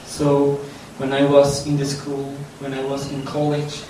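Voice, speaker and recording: A young man speaks from far off in a very echoey room. He gives a personal testimony slowly and haltingly, with nervous, self-deprecating delivery. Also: mid-range voice, monotone, warm tone. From Colombia. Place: reverberant room, speaking to a gathering. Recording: echoey room, distant mic, faint noise.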